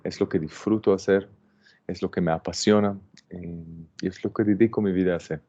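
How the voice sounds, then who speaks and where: Deep-voiced Israeli man teaching online. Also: no room sound. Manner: calm, halting, many fillers and restarts. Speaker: landscape designer teaching a plant workshop. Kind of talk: online workshop introduction. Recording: dull, dry computer-mic sound.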